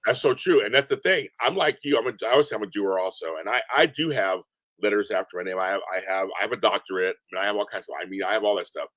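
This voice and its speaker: A deep-voiced man chatting as a podcast guest, animated and rambling, often repeating himself. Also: fast, no echo. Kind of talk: casual interview chat. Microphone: remote call from home.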